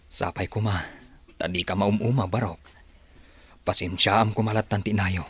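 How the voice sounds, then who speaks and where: A man playing a protective father in an audio drama, with a husky, earnest, admonishing way of talking. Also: on the low side, fairly even intonation, normal speed. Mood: gentle, consoling. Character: protective, reproachful father defending his adopted son.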